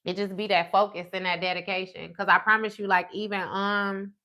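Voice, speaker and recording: Bright-voiced, blunt woman in her thirties. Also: medium pitch, level tone, a little fast. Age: 30s. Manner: blunt and profane, talks straight to her viewers. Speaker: tarot livestream host. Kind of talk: casual livestream chat with viewers.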